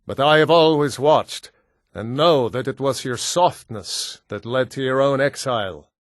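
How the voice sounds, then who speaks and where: An actor playing a proud, solemn Nord hunter and warrior in a video game, speaking in a measured, formal way. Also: male voice, medium pitch, dry recording, studio quality, lightly acted. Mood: stern, reproachful. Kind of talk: scripted video game character dialogue.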